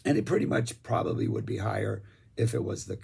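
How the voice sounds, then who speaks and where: A man's voice: on the low side, brisk, a little mellow. A man losing his voice, chatting casually and enthusiastically as he reviews concert recordings, rambling with lots of fillers. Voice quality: gravelly, raspy. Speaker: music reviewer.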